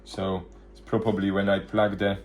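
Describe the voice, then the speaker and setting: Low-pitched man giving a casual demo. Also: little pitch movement, darkish tone, slight background noise. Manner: halting, loosely phrased, thinking aloud. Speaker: vintage hi-fi video presenter. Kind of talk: casual hands-on product demonstration.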